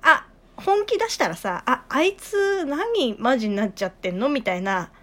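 A woman in her thirties co-hosting a casual chat show, lively and teasing, laughing often, with a tinge. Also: sits high, normal speed. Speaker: podcast co-host.

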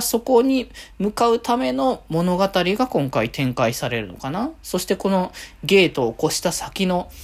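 A man presents a casual fan podcast on his own. His intonation is very animated, rising and falling widely. He thinks aloud as he goes, halting often and stretching out his hesitations. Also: a little high. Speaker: podcast host.

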